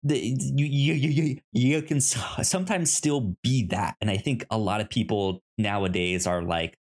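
A man with a frequent stutter talking casually as a co-host, halting and rambling as he repeats sounds and words. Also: medium pitch, darkish tone. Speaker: podcast co-host. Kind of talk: casual conversational film discussion.

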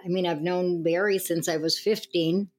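A woman's voice: lowish voice, fairly even intonation, normal speed. A woman in her eighties from the United States, talking candidly and casually about her own life. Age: 80s. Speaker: discussion participant. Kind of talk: casual personal reminiscence.

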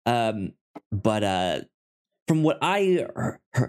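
A man co-hosting a podcast in casual film discussion, halting and often repeating words and syllables as he goes. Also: lowish voice, sing-song. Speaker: podcast co-host.

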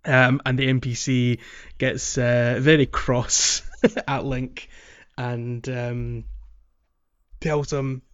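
A man's voice: medium pitch, level tone, very slow, clean tone, muffled recording. A man hosting a casual gaming podcast, explaining things in a hesitant, meandering way. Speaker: podcast host.

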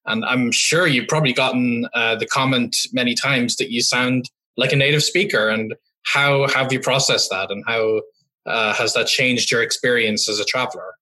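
A man's voice: medium pitch, fairly even intonation, moderate pace, bright, forceful tone, poor audio. A man interviewing someone in a conversational style, posing open questions as the interviewer.

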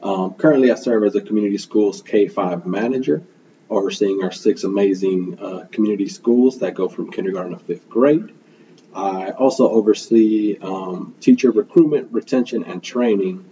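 A young man from Milwaukee whose first language is Spanish answers interview questions in an earnest, reflective way, often pausing on "um" and checking in with "right?". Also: fairly low pitch, muffled recording, noisy background. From Milwaukee, Wisconsin, USA. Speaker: guest, school program manager.

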